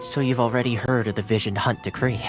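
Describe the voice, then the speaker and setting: A man voicing a polite, composed retainer who explains things in a measured way. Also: medium pitch, steady tone, natural voice. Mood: calm. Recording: game audio with clear music behind.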